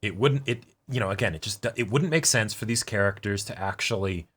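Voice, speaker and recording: Male co-host, lowish and bright, conversational, circles back over his words while thinking. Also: breathy edge. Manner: halting, repeats words as he thinks. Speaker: co-host of anime reaction show. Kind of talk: casual discussion and commentary.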